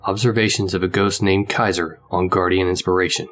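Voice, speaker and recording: Very deep male announcer over music. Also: little pitch movement, fairly quick, a bit bright. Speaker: story narrator. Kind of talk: scripted title announcement.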